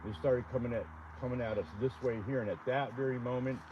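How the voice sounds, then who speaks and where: A man's voice: mid-range voice, fairly even intonation, fast talker, muffled recording. A man outdoors on a wooded ridge, earnestly telling the story of his encounter, rambling and restarting as he goes. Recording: outdoor noise.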